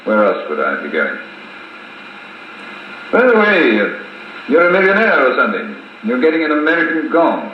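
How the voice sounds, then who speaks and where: An actor playing a dry, gruff colonel with a clipped, understated manner in a 1950s radio drama. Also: male voice, mid-range voice, huge pitch swings, normal speed, pauses often. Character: dry, gruff British colonel, reserved old-school officer. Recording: muffled, echoey 1950s wire recording with hiss.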